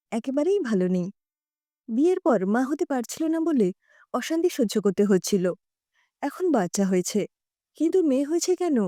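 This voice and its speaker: A woman narrating a dramatic story in the first person with an emotive, expressive delivery. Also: high voice, no room sound, studio quality.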